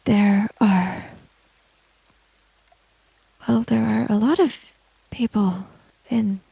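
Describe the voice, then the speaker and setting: A woman playing an earnest, worried female samurai in a tabletop game, speaking in halting, formal phrases. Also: medium pitch, steady tone, gravelly, dark tone, slightly rough, no echo, exaggerated voice, low-quality recording. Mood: shaken.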